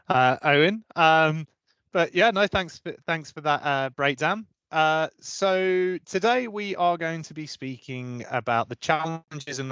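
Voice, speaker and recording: A man's voice: mid-range voice, fairly bright tone, clean tone, no echo, muffled recording. A man hosting an interview, asking questions in a friendly, conversational way with fillers and repeated words. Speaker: interviewer, recruitment practice lead.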